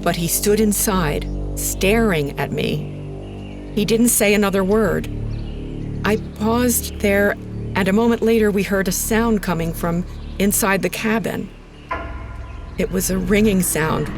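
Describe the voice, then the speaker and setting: An actress playing an uneasy female radio presenter, narrating an eerie investigation in a measured, deliberate way. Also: mid-range voice, lightly acted. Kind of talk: scripted first-person narration.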